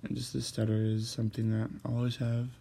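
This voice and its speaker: Man, stutters, hesitant, flat delivery, dark mellow tone. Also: mid-range voice, gravelly, slight background noise. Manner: stutters, hesitant with many pauses and fillers. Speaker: host. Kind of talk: personal monologue.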